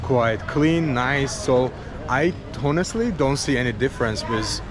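A man's voice: mid-range voice, a little slow, crowd noise. A man in his thirties from Azerbaijan, talking casually to a handheld camera while he walks through a busy outdoor street market. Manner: chatty, friendly, talks to camera while walking. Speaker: travel vlogger. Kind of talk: casual walking vlog narration. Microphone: handheld camera on a selfie stick at arm's length. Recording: noisy outdoor street recording.

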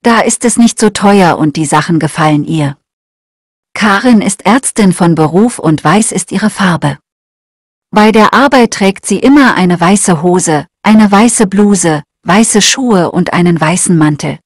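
A woman reading short learner texts aloud in a slow, clear, scripted way. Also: lowish voice, expressive, dry recording, clean studio sound. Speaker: German lesson reader.

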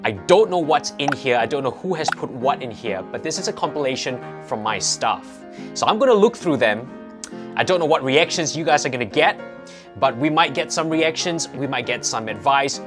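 A middle-aged man hosting a casual reaction video, explaining and giving coaching advice in an indoor office. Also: medium pitch. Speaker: host, fitness coach.

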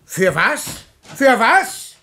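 Blunt earthy middle-aged patriarch, blustering, sharp forceful tone, swooping intonation, old German radio drama. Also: male voice, very high-pitched, sing-song, drawn-out words, heavily acted. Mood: furious. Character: blunt, hot-tempered middle-aged musician, a gruff father.

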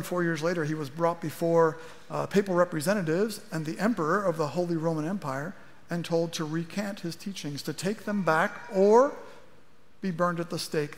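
A middle-aged man preaching a sermon from a church stage in a conversational style with wry asides, speaking into a headset mic. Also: a little high. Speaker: pastor. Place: large church auditorium stage. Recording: long hall reverb.

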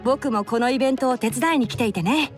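A woman's voice: a little high, soft background music, natural voice. Light, boyish young plant expert played by a woman. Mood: composed, explanatory. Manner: measured, explanatory, wry. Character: Tighnari, youthful male forest expert, composed and dry-witted. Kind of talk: anime game voice acting.

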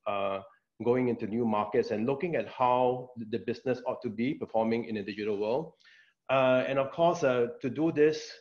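Middle-aged man, measured delivery, filler-heavy, muffled video call sound. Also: mid-range voice, a little mellow. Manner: measured, with frequent fillers and repeats. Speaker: vice president of a tech firm, panel sponsor. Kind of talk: panel self-introduction. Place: indoor office. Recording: dull lo-fi video call audio.

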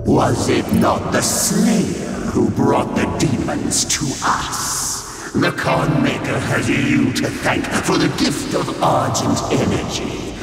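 An actor playing a grandiose, gloating mad scientist villain in a video game, with a florid, drawn-out delivery. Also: male voice, mid-range voice, very animated. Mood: accusing. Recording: echoing, distant, under loud music. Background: loud game music.

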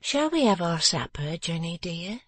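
A woman voicing a gentle, patient young woman in a storybook, soothing and affectionate. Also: lowish voice, big pitch swings, a little slow, husky, dry recording, pitched above the performer's own voice, heavily acted. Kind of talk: dramatised storybook reading.

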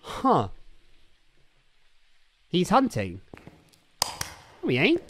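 A man's voice: high voice, wildly swooping pitch, drawn-out words, a little hiss or hum. A young man from Somerset with a Somerset accent, streaming a ghost-hunting game and chatting casually into a desk mic in a small room. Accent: Somerset English. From Somerset, England. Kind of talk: casual gaming commentary. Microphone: studio mic on a boom arm.

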